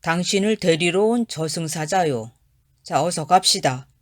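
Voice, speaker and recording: A curt, unmoved male grim reaper from a storybook folktale, as a woman narrator puts him on, every word flat and final. He speaks loud and firm, with a lowish voice. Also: a little slow. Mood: stern.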